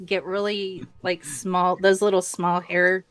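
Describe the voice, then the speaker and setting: Slow, playful jokey woman. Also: on the low side, flat delivery. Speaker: guest. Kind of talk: casual chat.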